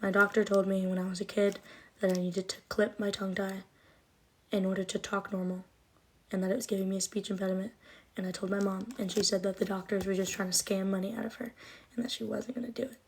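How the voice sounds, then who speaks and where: A woman's voice: medium pitch, flat delivery, fast talker, a little hiss or hum, low-quality recording. A woman in her twenties chatting on a livestream, rambling with lots of fillers, with a slight lisp and trouble with her R sounds. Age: 20s. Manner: rambling and chatty, many likes and ums. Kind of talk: casual livestream chat answering comments.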